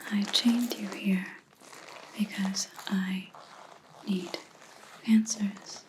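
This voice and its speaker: Female vampire hunter, played by a woman, ASMR roleplay: breathy close-mic whisper, unhurried, calm, quietly accusing menace. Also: medium pitch, noisy background. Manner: slow, deliberate, quietly accusing. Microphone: close ASMR microphone.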